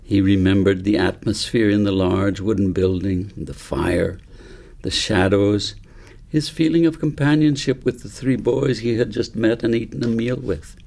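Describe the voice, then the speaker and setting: A man narrating a novel as its author, in measured, deliberate phrases with pauses. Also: lowish voice, gravelly, a little mellow. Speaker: author reading his novel. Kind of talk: scripted audiobook narration.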